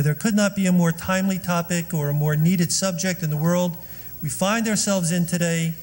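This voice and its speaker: A man's voice: a little high, a little mellow. A man introducing a guest speaker at a synagogue event, chatty and joking, with many fillers. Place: synagogue hall. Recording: long hall reverb.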